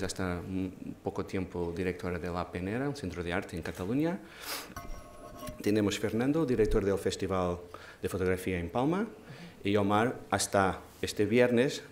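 A man in his forties with a Portuguese accent, a non-native speaker, moderating a panel in a thoughtful way, pausing and restarting phrases. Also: deep voice. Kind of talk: panel discussion talk. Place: large dark auditorium stage. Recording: echoey hall with faint noise.

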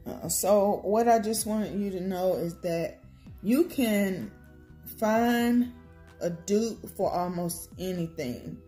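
Slow, chatty American woman vlogger. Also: medium pitch, dark tone, soft background music. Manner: chatty, unhurried, many ums and self-corrections. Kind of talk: casual product comparison vlog. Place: home table, indoors.

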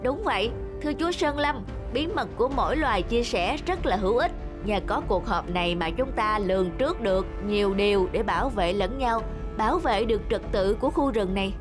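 A woman voicing a respectful forest animal in an animated fairy tale, speaking formally and deferentially. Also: medium pitch, fairly quick, close to an everyday voice. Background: music.

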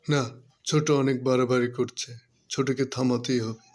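A man's voice: medium pitch, flat delivery, normal speed, darkish tone, lightly acted. A man voicing a proud, exasperated elder fox brother in a cartoon, scolding and lamenting. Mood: distressed, indignant. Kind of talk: cartoon voice acting.

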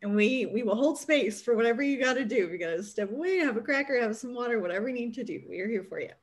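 A woman's voice: fairly high pitch, lively intonation, fast. A woman in her forties hosting an online panel from a small home room over a video call, speaking in an upbeat, effusive way. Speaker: host.

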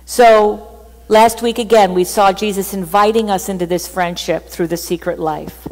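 A middle-aged woman preaching a sermon from a church stage, chatty and animated, sometimes laughing at herself. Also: mid-range voice, moderate pace, big-room sound, poor audio. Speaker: pastor. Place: church stage with band gear.

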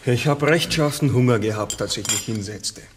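An old, clearly noisy radio drama recording with clinking tableware. A haunted farmer , played by an actor, speaks in a mid-pitched voice, halting and brooding. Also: male voice, close to an everyday voice. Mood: uneasy, brooding. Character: uneasy, haunted farmer and father. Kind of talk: 1960s German-language radio play acting.

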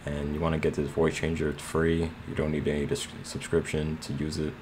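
A man's voice: extremely low pitch, flat delivery, a little fast. A man narrating a casual screen tutorial in a plain, slightly hesitant way. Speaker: tutorial host. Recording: clear background noise.

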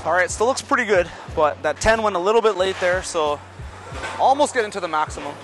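A man in his thirties giving relaxed running commentary while bowling in a large indoor bowling alley, talking through each shot and adjustment. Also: high-pitched, fairly quick, over music. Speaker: bowling ball reviewer.

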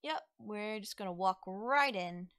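A woman acts out a playful young forest witch for an ASMR roleplay. Her pitch swings expressively as she teases, coaxes and reassures the listener. The sound is close and dry. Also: expressive, fairly bright tone, no echo. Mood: playful, teasing. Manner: coy, soothing, conversational with drawn-out words. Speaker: roleplay voice actor.

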